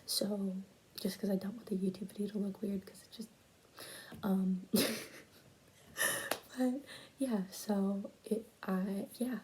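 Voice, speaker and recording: A young woman presenting a school project in a casual, halting way, stuttering and pausing often and dropping to a whisper at times, recorded on a tablet at home late at night. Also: mid-range voice, fairly even intonation, background noise. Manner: stuttering, halting, with many pauses and fillers. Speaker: student presenting a class project.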